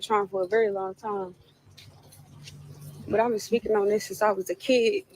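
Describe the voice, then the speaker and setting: A woman's voice: medium pitch, steady tone, moderate pace, darkish tone, slight background noise, rough recording. A woman in a played clip, talking casually to the camera in a defiant, preachy manner about faith and spirits.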